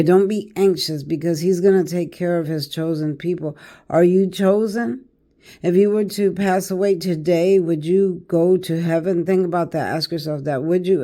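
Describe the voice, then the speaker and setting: An older woman speaks in a raspy, hoarse voice with a mellow, dark tone. She is a tarot reader talking casually to her viewers, preachy and emphatic, and she keeps checking in with "okay" and "all right.". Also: lowish voice. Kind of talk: casual spiritual monologue to camera.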